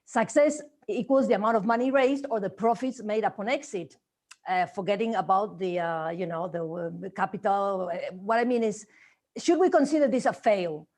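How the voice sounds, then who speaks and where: A woman's voice: medium pitch, normal speed, a little mellow. A woman hosting an online interview, asking long questions with frequent hesitations. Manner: hesitant, many "uh" fillers. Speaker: interview host.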